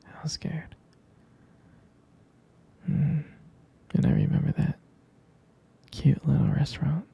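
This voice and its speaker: A man playing a jealous, protective, devoted boyfriend in an intimate ASMR roleplay, speaking tenderly and hesitantly in a breathy, hushed voice close to the microphone. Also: a little high, flat delivery, slow pace, highs cut off, heavily acted. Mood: tender, nostalgic. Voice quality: breathy, husky whisper with gravelly fry.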